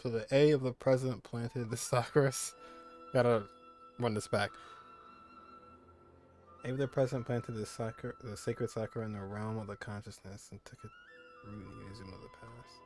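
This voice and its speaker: A man streaming a video game, reacting with excitement and reading lines aloud again to take them in. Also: medium pitch, moderate pace, pauses often. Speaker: gaming streamer. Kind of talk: casual gameplay commentary. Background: game music.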